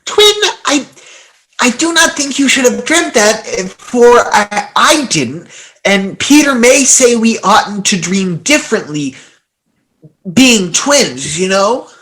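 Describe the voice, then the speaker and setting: Woman playing a hesitant high-voiced boy. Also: lively intonation, slow, fairly bright tone, poor audio. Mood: timid. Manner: hesitant, stammering. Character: timid, hesitant young twin boy. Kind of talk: audio play acting.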